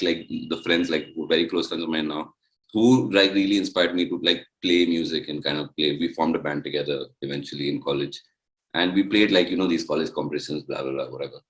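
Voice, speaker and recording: Deep-voiced young man, rambling. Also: fairly quick, a bit bright, low-quality recording. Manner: loose, rambling storytelling. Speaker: musician guest. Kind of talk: casual interview chat.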